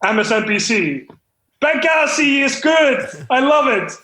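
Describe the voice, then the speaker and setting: A man playing an earnest, self-important amateur screenwriter in an improvised comedy table read, with a halting, stammering delivery. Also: very high voice, expressive, pushed and bright, full character voice. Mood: dramatic reading. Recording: roomy, echoey room sound.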